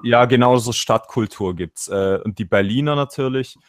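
A man's voice: mid-range voice, moderate pace. Rambling Swabian-accented man on a call. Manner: rambling, self-interrupting, acts out quotes. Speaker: panel guest. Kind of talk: casual political chat. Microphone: video call.

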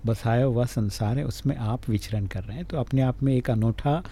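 A man's voice: medium pitch, moderate pace, mellow, slight background noise. A man with an Indian accent hosting a casual radio talk show interview, often murmuring short acknowledgements. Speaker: radio host.

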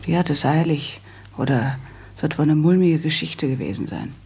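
An actress playing a proud, sensible young widowed daughter who speaks in a dry, reproving way in a German radio play. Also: female voice, low voice, lively intonation, normal speed, darkish tone, heavily acted. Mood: weary, dry. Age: young adult. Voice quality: husky, creaky.

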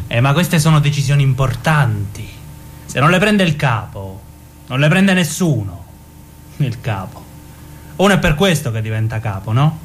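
An actor playing a coarse, ambitious, callous mobster with a southern Italian Calabrian accent in a theatrical radio drama, boastful and colloquial. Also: male voice, medium pitch, a little hiss or hum. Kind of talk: theatrical radio drama acting in dialect-tinged speech.

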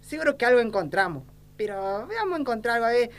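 A woman's voice: a little high, very animated, fast. Woman playing blustering old Argentine male politician. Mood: confused, dismissive. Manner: folksy, colloquial, blustering. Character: crooked, lecherous, ignorant old male small-town politician.

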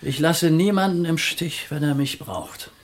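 A man's voice: a little high, unhurried, natural voice. An actor playing a shrewd, commanding older businessman in a German radio play, with a blunt and emphatic way of talking. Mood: impatient.

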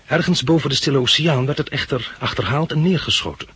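A man's voice: mid-range voice, quick pace, fairly bright tone, slightly rough, no echo. An actor playing an earnest man who recounts his studious youth with precise diction in a 1960s Dutch radio drama. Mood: grave recounting.

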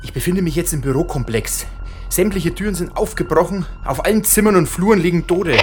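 A man's voice: sits high, a little mellow, soft background music, close to an everyday voice. A man acting a frightened, stammering police inspector in a radio drama. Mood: shaken. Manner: stammering, halting, increasingly panicked. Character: frightened male police inspector reporting from a massacre.

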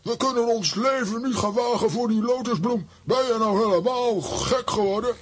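A man playing a blustery, protective adventurer in a children's audio drama, husky, exclaiming and bossing people about. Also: extremely high pitch, normal speed. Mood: alarmed. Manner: exclamatory, bossy.

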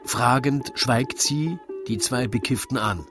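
Man, narrator, medium pitch, slow pace, wry, theatrical, rhymed comic verse, music underneath.

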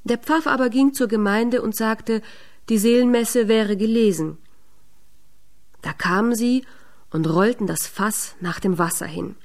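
A woman narrator reading a scripted fairy tale in a measured storytelling manner. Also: a little high.

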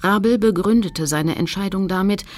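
An actress playing a determined female Nazi hunter, narrating her memories in a measured, precise, earnest way for a radio docudrama. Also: medium pitch, darkish tone, natural voice. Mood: earnest, resolute. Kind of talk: scripted first-person documentary drama narration.

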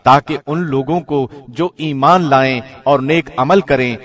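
A man reading a scripture translation from a script, with measured, formal diction like a radio presenter. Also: medium pitch, fairly bright tone. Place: studio.

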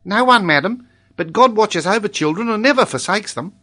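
Man as a small boy, high voice, polite, grave, earnest, storybook character. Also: animated, fairly quick, sharp tone, heavily acted. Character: devout, earnest, calm little boy with good manners.

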